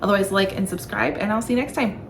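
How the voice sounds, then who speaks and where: A woman's voice: medium pitch, fast. A woman with a mid-range voice chats to her viewers as a book reviewer. Her delivery is casual, informal and enthusiastic. The recording carries noticeable background noise. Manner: enthusiastic, informal, often gushing. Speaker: book reviewer hosting a video channel. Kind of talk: casual chatty book vlog. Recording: clearly audible background room noise.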